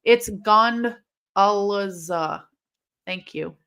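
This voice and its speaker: A woman's voice: mid-range voice, extremely slow, clean tone. Woman streamer from illinois, rambly live chat, says like a lot, hissy s's. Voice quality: intense, sharp S sounds from a mostly corrected lisp. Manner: chatty and rambling, heavy use of "like". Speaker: host of a live wrestling watch-along. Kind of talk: casual live-stream chat reading comments.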